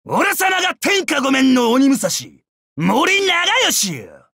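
An actor playing a bloodthirsty, boastful samurai berserker in an anime game, rough and brash, swinging from growls to shrieks. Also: male voice, extremely high pitch, huge pitch swings, takes it slowly, pushed and bright, no echo, studio quality, heavily acted. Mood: gleeful bloodlust. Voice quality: rough, raspy. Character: bloodthirsty, boastful, battle-crazed male samurai berserker, fiercely loyal. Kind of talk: anime game battle voice lines.

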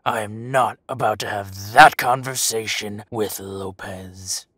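A man playing a grim, protective werewolf detective in an audio drama, husky and menacing. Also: fairly low pitch, fairly even intonation, slow, a bit bright, dry recording, clean studio sound, full character voice. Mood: stern, controlled. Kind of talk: amateur audio roleplay drama.

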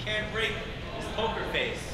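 A playful man, high-voiced for a man, trades jokes as he walks through a busy poker room. The room echoes and loud player chatter nearly swamps him. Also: lively intonation, normal speed. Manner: teasing, playful banter. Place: large indoor poker room. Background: loud crowd chatter.

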